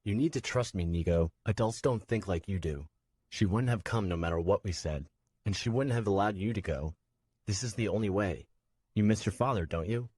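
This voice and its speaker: A man's voice: on the low side, normal speed, a little mellow, slightly rough, no room sound. A man doing Swen, a harsh bully. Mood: persuasive. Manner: harsh and impatient. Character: harsh, bullying adult man driving a carriage.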